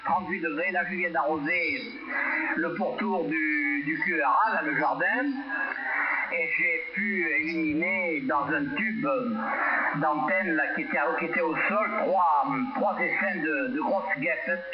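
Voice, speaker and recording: A man's voice: a little high, a little slow. A man from France speaking over amateur radio in a courteous, formal, wordy and repetitive way. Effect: heard over radio. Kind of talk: ham radio exchange. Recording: very noisy, muffled shortwave radio reception.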